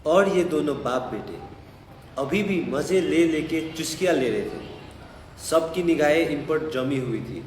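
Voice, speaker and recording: A man's voice: on the high side, animated, unhurried, a little mellow. A man narrating a story dramatically in an audio play. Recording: distant mic, echoey hall, faint noise.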